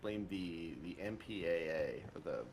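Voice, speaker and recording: Lowish guy bantering over a game, dry and wry, noisy rumbly recording. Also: a little slow, darkish tone. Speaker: co-commentator on a gaming podcast. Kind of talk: casual chat, commentary. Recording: clearly noisy, low rumble.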